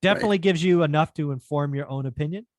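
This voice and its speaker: A man's voice: a little high, very fast, no echo. A middle-aged man hosting a podcast, greeting his guest in a chatty, upbeat way over a headset. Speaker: podcast host. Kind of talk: casual interview. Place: home studio indoors.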